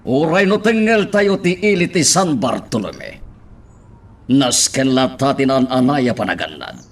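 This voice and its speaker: A man's voice: mid-range voice, very animated, a little slow. A man acting a stern, commanding crime boss and father in a radio drama. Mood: stern, scheming. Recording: faint background noise.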